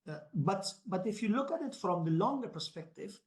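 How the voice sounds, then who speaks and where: A man with a fairly high voice speaks as a guest in a panel discussion. He talks spontaneously and haltingly, with "you know" as a filler. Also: lively intonation.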